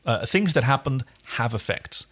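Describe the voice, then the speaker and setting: A man's voice: medium pitch, animated, moderate pace, fairly bright tone, breathy edge. A man co-hosting a podcast, recapping a TV episode in casual, explanatory and jokey chat. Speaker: podcast co-host.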